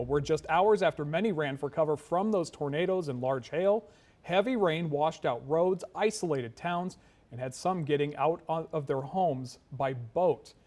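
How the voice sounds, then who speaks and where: A man's voice: fairly high pitch, a little mellow. An American man anchoring a local TV newscast in a scripted broadcast style. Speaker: news anchor. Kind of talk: scripted broadcast news delivery.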